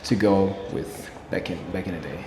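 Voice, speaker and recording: A man from Burundi, a Kirundi native, talks through his answers in a fairly low voice, earnestly circling back to his main points. The room is echoey with a long reverb tail, and a faint hiss sits underneath. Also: fairly even intonation, normal speed. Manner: earnest, repeats key phrases for emphasis. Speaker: interview guest, English learner. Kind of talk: casual interview answers.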